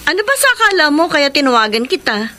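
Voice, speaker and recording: A woman's voice: high voice, big pitch swings, fast talker, sharp tone, a little hiss or hum, heavily acted. Woman playing a firm young woman. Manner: firm, matter-of-fact. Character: young woman firmly turning down a suitor. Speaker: Lea. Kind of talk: radio drama acting.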